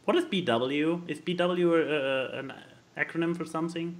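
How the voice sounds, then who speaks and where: A man co-hosting a casual podcast, speaking haltingly and stammering as he searches for words. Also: a little high. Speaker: podcast co-host.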